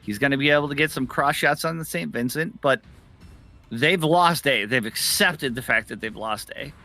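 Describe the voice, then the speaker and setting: A man's voice: medium pitch, moderate pace, pushed and bright, soft background music. A man co-commentating live esports, emphatic and given to repeating phrases for effect.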